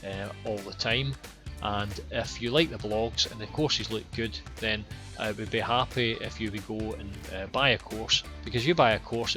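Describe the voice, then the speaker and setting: A Scottish man guiding viewers through his online course with plain, unhurried explanations and a few ums and repeated words. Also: lowish voice. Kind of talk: screen-recorded course walkthrough.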